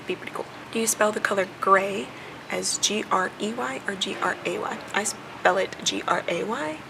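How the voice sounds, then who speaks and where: A young American woman with a lot of creak in her voice talks casually, like a vlogger answering questions for her viewers. She chews gum as she goes. Clear ambient noise sits behind her. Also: medium pitch. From southern United States. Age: young adult. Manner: chewing gum while talking.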